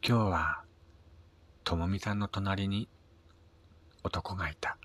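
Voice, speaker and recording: A man with a husky voice narrating a scripted first-person radio drama, his phrase endings trailing into a breathy near-whisper. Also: low-pitched, slow, fairly bright tone. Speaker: reader of own short story.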